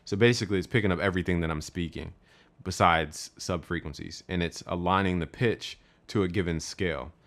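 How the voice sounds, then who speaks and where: Very low-voiced man teaching beat making. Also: a little fast, fairly bright tone. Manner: step-by-step, instructive. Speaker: music production tutor. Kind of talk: screen-recorded software tutorial.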